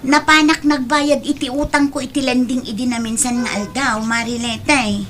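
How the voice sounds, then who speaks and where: A woman's voice: sits high, fairly even intonation, moderate pace, music in the background, close to an everyday voice. Woman acting blunt Ilocano neighbor chasing debts. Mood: nagging, indignant. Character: frank woman friend who sells goods and chases debts. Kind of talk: Ilocano radio-style comedy drama.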